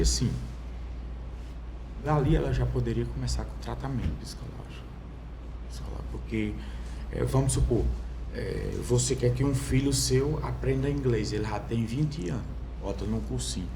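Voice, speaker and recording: A young Brazilian man speaking as a podcast guest, telling his own story in a halting way, with many fillers and restarts. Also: medium pitch, vocal fry, noisy background. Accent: Brazilian Portuguese. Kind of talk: personal testimony in conversation. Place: podcast studio.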